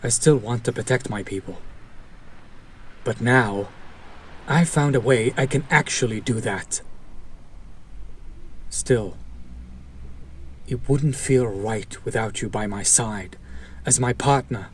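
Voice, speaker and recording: A man playing a fallen hero turned villain, an idealistic, bitter and protective former partner, speaking earnestly with dramatic pauses in an audio roleplay. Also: medium pitch, slight background noise, lightly acted. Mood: resolute, tender. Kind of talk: dramatic audio roleplay monologue addressed to listener.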